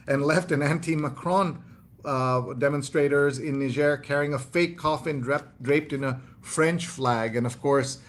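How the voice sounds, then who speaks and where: A man hosting a live newspaper read-along, reading headlines aloud and commenting casually in a halting, think-aloud way. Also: fairly high pitch.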